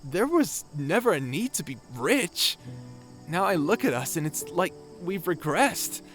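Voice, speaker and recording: A man's voice: on the high side, big pitch swings, measured pace, a bit bright, soft background music. A man playing an awkward, sympathetic young lord in a sci-fi audio drama, speaking in a hesitant, wry, stammering way. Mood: wry, wistful. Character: awkward, sympathetic young male lord revived from century-long stasis. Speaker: voice actor in an audio roleplay. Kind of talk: conversational audio drama acting.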